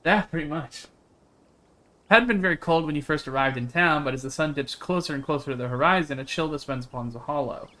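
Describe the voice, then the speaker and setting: A man's voice: on the high side, fast talker, pushed and bright. A man reading a visual novel aloud on a live stream, dropping into casual, sweary asides. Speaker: game streamer. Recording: music behind the voice.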